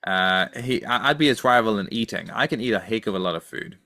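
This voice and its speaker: A man's voice: on the low side, fast, pushed and bright, dry recording. A man co-hosting a podcast in casual chat, thoughtful and hesitant, often repeating his phrases. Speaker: podcast co-host.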